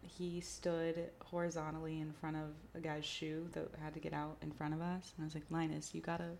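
Woman host, lower voice, breezy filler-heavy chat. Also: monotone, brisk, gravelly, darkish tone, slight background noise. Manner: chatty, upbeat, lots of likes and ums. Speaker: podcast host. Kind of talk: casual podcast chat.

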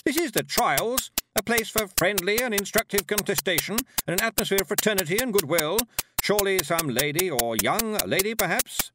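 A man voicing a flustered, forcedly bright woman hosting a village event in an audiobook, trilling and hesitant. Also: high-pitched, huge pitch swings, brisk, hardly any pauses, very noisy, voice pushed up. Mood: almost frantic.

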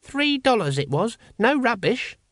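A man's voice: very high-pitched, very animated, unhurried, fairly bright tone, dry recording, clean studio sound, voice pushed up, full character voice. A man voicing an aggrieved, penny-pinching cult brother who mutters and grumbles, in an audiobook. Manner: muttering, colloquial. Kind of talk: audiobook character acting.

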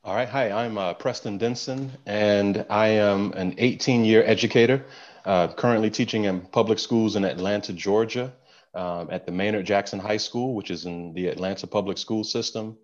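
A man who is a guest teacher on a podcast, explaining his experience in a measured way. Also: on the low side, steady tone. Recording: dull lo-fi sound.

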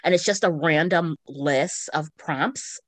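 Woman, slightly low voice, a bit slow-paced, giggly podcast co-host, casual chat, dry close sound. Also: no room sound. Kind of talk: casual chat about books and shows.

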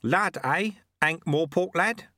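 A man voicing a cheerful, amiable little old monk sweeper in an audiobook, wryly modest, with a breezy, gently teasing manner. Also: a little high, slow, dry recording, clean studio sound, voice pushed up.

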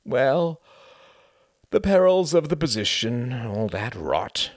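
A man voicing a cool, bored, dry-witted gentleman who speaks languidly. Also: medium pitch, big pitch swings, takes it slowly, no echo, pitched above the performer's own voice. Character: cool, wry male former professor's assistant.

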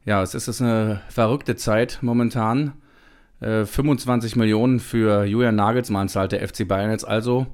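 A man's voice: lowish voice, a little mellow. A man hosting a podcast, talking casually and at length with his guest, with many small hesitations. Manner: chatty, many fillers and restarts. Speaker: podcast host. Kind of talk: casual chat.

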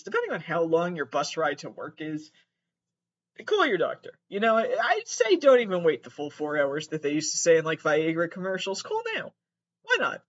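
Male comedic commentator, swooping and animated, halting delivery with sweary, exasperated asides, slightly muffled recording. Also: a little high. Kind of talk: casual comedic commentary, reading messages aloud.

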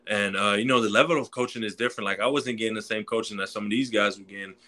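Fast-talking young man. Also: on the low side. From Quebec, Canada. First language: French. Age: 20s. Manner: relaxed, many fillers like "you know". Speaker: guest, college football player. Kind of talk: casual interview talk.

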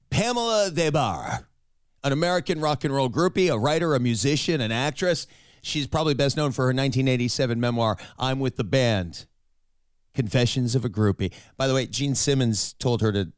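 A man hosting an interview show, chatty and self-deprecating, his voice raspy from a cold. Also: mid-range voice, no room sound, clean studio sound. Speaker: host. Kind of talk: casual interview and narration.

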